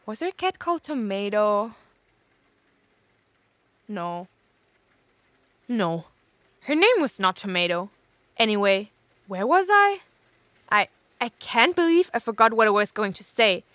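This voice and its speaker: An actress playing a scatterbrained, sarcastic investigator who rambles and interrupts herself in an audio drama log. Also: female voice, medium pitch, sing-song, a little slow, pauses often, fairly bright tone, no echo. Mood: scattered, musing.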